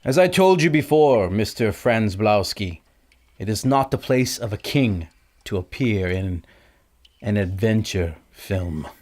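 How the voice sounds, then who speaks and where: A man's voice: lowish voice, animated, unhurried, darkish tone. An actor playing a courteous island king in a pulp adventure audio drama, stately and formal. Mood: firm.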